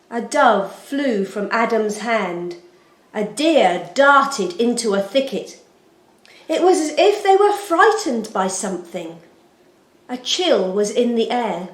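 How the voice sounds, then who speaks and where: A woman storyteller giving an expressive, solemn and deliberate narration of a scripted children's Bible story. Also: fairly high pitch. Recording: roomy, echoing sound.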